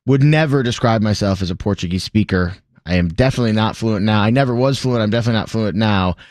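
A man's voice: fairly low pitch, fast talker, a little mellow, no echo. A man co-hosting a casual radio talk show from a studio, upbeat and rambling, with lots of fillers and self-corrections. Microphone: studio microphone.

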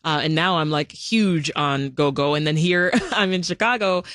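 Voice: medium pitch, moderate pace, fairly bright tone, no echo, clean studio sound. A radio host from Jamaica, talking in a casual, chatty interview, laughing often and using many fillers.

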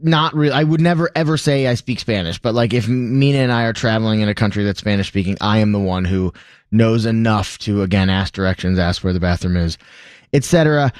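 A man's voice: fairly low pitch, a little fast, smooth, no echo. Upbeat rambling man on the radio, dry. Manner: upbeat, rambling, lots of fillers and self-corrections. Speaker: radio talk show co-host. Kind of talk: casual radio talk. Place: radio studio. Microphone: studio microphone.

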